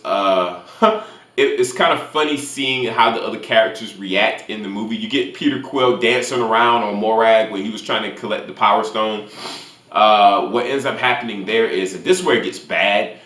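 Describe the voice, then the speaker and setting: A man's voice: lowish voice, fairly bright tone. Rambling man in a roomy, echoey space. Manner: rambling, repeats words while searching for the next one. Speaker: movie reviewer, channel host. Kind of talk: unscripted casual spoiler recap and review. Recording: roomy echo, faint music behind.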